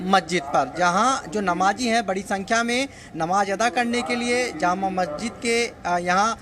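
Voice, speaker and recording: High-pitched male field reporter, halting delivery. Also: crowd noise. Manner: halting, repetitive, with frequent hesitations. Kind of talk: live on-location news report. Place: busy street market, outdoors.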